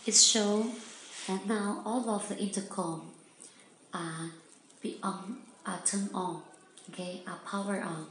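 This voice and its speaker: A woman with a non-native English accent giving step-by-step product instructions in halting, simple phrases, often repeating herself. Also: medium pitch, fairly even intonation, fairly bright tone. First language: not English. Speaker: product demonstrator. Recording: echoey room with background noise.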